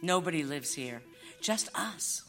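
Female dog ringleader for a radio comedy, played by a woman: low-pitched, animated, motherly and in charge, patiently condescending. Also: a little slow, faint music behind, full character voice. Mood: matter-of-fact. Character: motherly, bossy female dog leader of secret canine operation. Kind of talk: live audio theatre acting.

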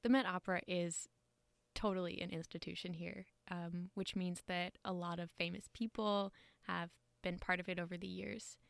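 A woman's voice: on the low side, flat delivery, normal speed, vocal fry, dry recording. A woman asking interview questions in a casual way, with some fillers.

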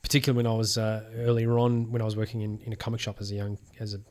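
A man's voice: lowish voice, monotone, racing, darkish tone. A man hosting a podcast in casual interview chat. He hesitates often, restarting phrases when he talks at length. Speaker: podcast host.

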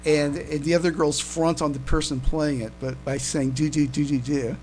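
A man co-hosting a casual fan podcast, speaking haltingly and often repeating his words as he comments. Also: fairly high pitch, flat delivery, a little mellow. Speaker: podcast co-host. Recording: noisy home recording with hum.

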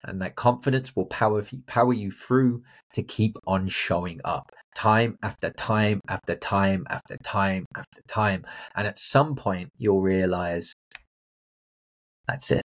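A man's voice: low-pitched, measured pace, highs cut off. A man hosting a motivational solo podcast, talking bluntly and directly to the listener. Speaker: podcast host and confidence coach.

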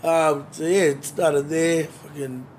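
A man's voice: a little high, steady tone, takes it slowly, darkish tone. A man telling his story loosely, swearing constantly and laughing easily. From New Zealand. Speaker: musician guest. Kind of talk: casual interview chat. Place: balcony outdoors. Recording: outdoor balcony with background noise.

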